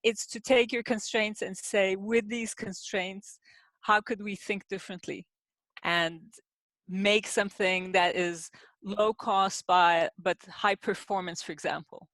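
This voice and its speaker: A woman, an industrial designer answering as a podcast guest, explaining her work in a measured, thoughtful way with pauses. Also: mid-range voice, steady tone, a bit bright, no room sound. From Turkey. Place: home in the city, sheltering in place.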